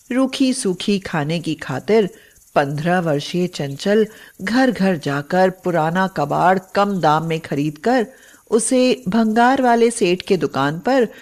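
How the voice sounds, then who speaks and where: A woman narrating a scripted children's moral story in an expressive, dramatic way. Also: on the low side.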